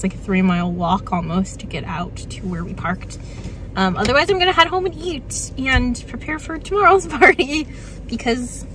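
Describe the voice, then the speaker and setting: A young woman with a hoarse voice from a cold, chatting tiredly to her phone in a parked car after a gig. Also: mid-range voice, a bit bright, slight background noise, rough recording. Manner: chatty, tired, quick asides with small laughs. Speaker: vlogger and working actor. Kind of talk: casual vlog chat to camera. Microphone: phone held at arm's length.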